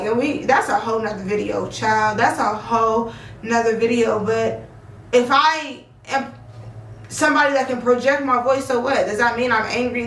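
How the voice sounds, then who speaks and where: Young woman, high voice, blunt and profane, loud projecting voice, roomy echo, a bit off-mic. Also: a little hiss or hum. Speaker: vlogger. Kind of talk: casual opinion vlog. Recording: echoey room, voice a bit far from mic.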